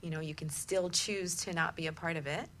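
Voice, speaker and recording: A woman's voice: low voice, fairly even intonation, brisk, a bit bright, a little hiss or hum. A woman hosting a talk show, giving conversational advice in an emphatic manner.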